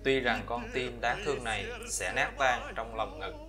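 A man delivers a scripted voice-over for a fairy-tale cartoon in a mid-pitched voice. He reads every character himself as translator. Loud operatic film music plays clearly behind his voice. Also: normal speed.

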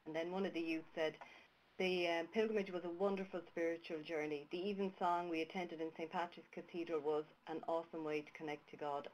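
A woman's voice: low voice, a little mellow, muffled recording, slight background noise. A woman with an Irish accent hosting a webcast over a webcam mic, speaking hesitantly before reading comments aloud. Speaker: webcast presenter. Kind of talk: webcast presentation.

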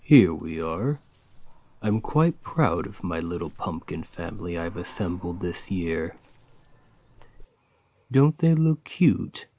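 Kind gravedigger played by a man for comfort ASMR: fairly low, very unhurried, gentle, patient, fondly encouraging. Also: very animated, heavily acted. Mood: fond, playful. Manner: soft, soothing, encouraging, unhurried. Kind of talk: ASMR roleplay, scripted comforting monologue.

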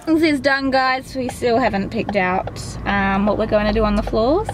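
A woman's voice: fairly high pitch, normal speed, noisy background. A young Australian woman vlogging casually on her phone, chatting to viewers in a store and a car. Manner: chatty, addresses viewers as guys. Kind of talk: casual vlog to camera. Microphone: phone at arm's length.

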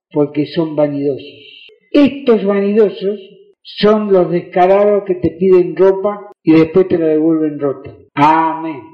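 An older Argentine pastor preaches with a Rioplatense accent, his voice pitched high for a man. He presses the crowd with rhetorical questions and calls for amens. The handheld mic sounds dull and the church hall rings around him. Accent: Rioplatense Spanish. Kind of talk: fiery sermon with audience call-and-response. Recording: roomy, dull church recording.

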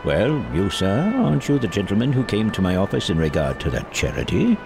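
An actor playing an elderly reformed miser, giddy, generous and exuberant, in a theatrical audio drama. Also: male voice, fairly low pitch, sing-song, a little fast, creaky, music in the background. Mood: effusive, warm. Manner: exuberant, chuckling, mock-stern at times.

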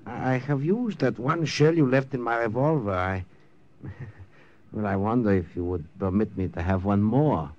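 An actor playing an earnest, deferential German man with a German accent, halting and humble, in 1940s radio style. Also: lowish voice, darkish tone, lightly acted. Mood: hesitant, humble. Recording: muffled, noisy old radio recording.

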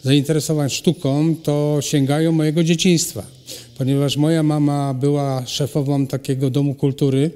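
A middle-aged man, a ceramic artist, answering interview questions in a halting way with many pauses and restarts. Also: fairly high pitch, little pitch movement, warm tone, clean tone. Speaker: ceramic artist and cultural animator, guest. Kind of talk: hesitant conversational interview answers. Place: hall at a cultural centre. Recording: long reverb of a hall.

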